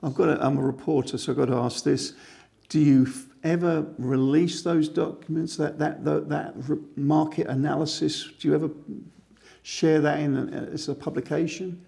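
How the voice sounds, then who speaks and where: Mellow, gravelly-voiced male reporter. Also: on the high side, steady tone, moderate pace. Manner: chatty, leading questions. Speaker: reporter and interviewer. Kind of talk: interview questions.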